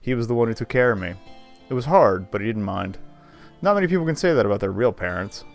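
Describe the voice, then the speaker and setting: A man's voice: medium pitch, lively intonation, fast talker, vocal fry. A man streaming a game, casually commenting and reading the on-screen text aloud. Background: faint game music.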